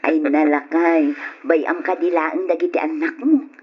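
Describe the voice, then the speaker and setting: A woman's voice: deep voice, steady tone, measured pace, slightly rough, exaggerated voice. A woman playing an earnest mother who is heading abroad for work, in an old radio drama. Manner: earnest, hopeful. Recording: muffled old radio recording.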